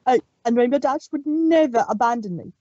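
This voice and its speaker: High childlike pony mare, pleading and stammering, read by a woman. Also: expressive, fairly quick, warm tone, lo-fi sound. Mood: realizing. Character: bubbly pink female pony, now distraught. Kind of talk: fanfic read-aloud on stream.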